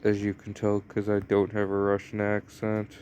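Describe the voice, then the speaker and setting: A man's voice: lowish voice, monotone, moderate pace, darkish tone, slight background noise. A young American man casually commenting on a mobile game he is playing, rambling and hesitant with lots of fillers. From United States. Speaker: gameplay commentator.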